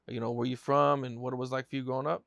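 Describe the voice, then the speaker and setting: A man's voice: medium pitch, flat delivery, rapid-fire, vocal fry, fairly bright tone, dry recording, clean studio sound. A man hosting a casual interview, asking questions in an easygoing way with lots of fillers. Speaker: interview host.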